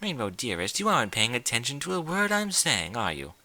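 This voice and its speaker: A man's voice: medium pitch, wildly swooping pitch, a little fast, pushed and bright, dry recording, full character voice. A man voicing a prim, fussy female pony in an audiobook, with genteel, theatrical, chiding diction. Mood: chatty, chiding. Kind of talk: audiobook character voice.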